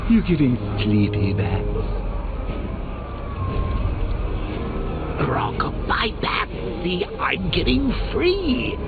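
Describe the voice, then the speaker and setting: A man's voice: fairly high pitch, gravelly, exaggerated voice. An actor playing a sadistic, cackling male clown villain who taunts the hero with theatrical mockery in video game voice lines. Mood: sinister sing-song. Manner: mocking, theatrical, sing-song taunts and cackles. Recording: tinny lo-fi game audio with loud noise.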